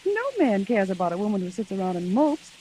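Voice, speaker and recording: A woman playing a peppy, scolding, blunt female companion in brisk 1940s radio acting. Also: mid-range voice, expressive, dark tone, natural voice. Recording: hissy, noisy 1940s radio recording.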